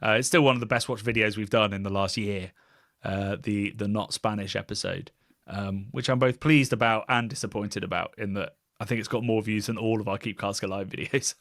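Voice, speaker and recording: A man's voice: lowish voice, steady tone, a little fast, fairly bright tone, dry recording, clean studio sound. A British man hosting a casual podcast, musing and rambling in a wry way. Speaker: podcast host, beer YouTuber.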